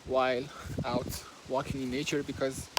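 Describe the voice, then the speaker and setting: A man's voice: medium pitch, monotone, normal speed, background noise. A man with a Persian accent, whose first language is Persian, talks casually while walking outdoors. He summarizes a book hesitantly, with many fillers and restarts, recorded on a simple phone. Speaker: book reviewer. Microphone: handheld phone, no fancy equipment.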